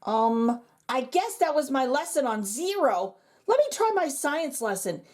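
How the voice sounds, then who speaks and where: A woman's voice: high voice, sing-song, measured pace, darkish tone, heavily acted. A woman voicing a clumsy, bumbling male stage magician in a showy, flustered manner.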